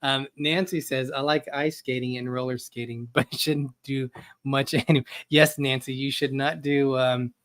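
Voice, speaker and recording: A man's voice: mid-range voice, little pitch movement, normal speed, fairly bright tone. A man from England co-hosting a casual live show, rambling and chuckling a lot as he talks. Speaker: co-host.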